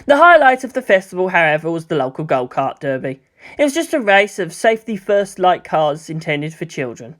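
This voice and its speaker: Story narrator, medium-pitched and very animated, expressive scripted reading with dramatic emphasis. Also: a little fast. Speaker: audiobook narrator. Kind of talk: scripted narration of fan fiction.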